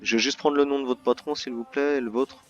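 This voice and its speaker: A man's voice: mid-range voice, fairly even intonation, fast talker. A man improvising as a polite, formal bartender in an online roleplay game, serving customers in chat. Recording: dull lo-fi game voice chat, music behind. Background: music.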